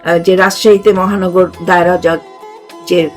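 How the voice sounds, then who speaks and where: A woman's voice: on the low side, flat delivery, slow, a little mellow, faint music behind. An older woman with a Bangladeshi accent hosting a conversational discussion, emphatic and often repeating herself to seek agreement. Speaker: talk show host, retired judge.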